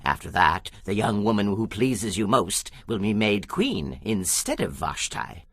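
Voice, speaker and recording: A man's voice: lowish voice, big pitch swings, a little slow, husky, no room sound, full character voice. A man playing an eager, obliging royal attendant in an audio Bible drama, with courtly, persuasive delivery. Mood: eager suggesting.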